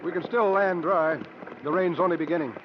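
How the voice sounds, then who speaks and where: A man acts a burly showman uncle of about thirty in an old radio play, shrewd and folksy, with a surprisingly high voice. The recording is dull and noisy, as if from a worn broadcast. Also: steady tone, fairly quick, close to an everyday voice. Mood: wry, watchful. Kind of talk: 1950s radio drama acting.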